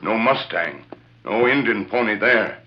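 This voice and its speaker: Terse, loyal frontier scout, muffled radio. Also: male voice, on the low side, lively intonation, measured pace. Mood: calm, terse. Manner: clipped broken English, terse. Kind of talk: 1940s radio western acting. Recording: muffled old radio recording with background noise.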